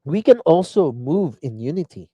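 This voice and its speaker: A man's voice: on the high side, very animated, moderate pace, mellow, breathy edge, no echo. A man hosting a live online show, delivering an earnest, rambling political commentary monologue full of restarts. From Philippines.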